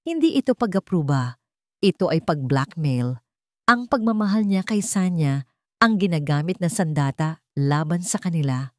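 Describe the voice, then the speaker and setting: Female narrator for dramatic love stories; slightly deep, measured, solemn, scripted read, no room echo. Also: lively intonation, studio quality. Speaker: storyteller.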